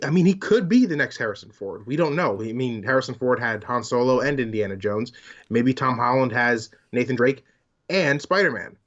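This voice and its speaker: A man co-hosting a casual podcast about movies and games, in relaxed conversational talk. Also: medium pitch. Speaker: podcast co-host. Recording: slightly dull, lo-fi microphone sound.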